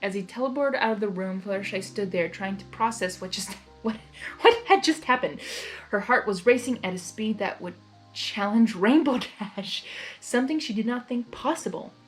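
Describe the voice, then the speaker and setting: A woman reading fan fiction aloud as narrator, expressive and excitable, with casual reactions. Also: medium pitch, normal speed, soft background music, low-quality recording.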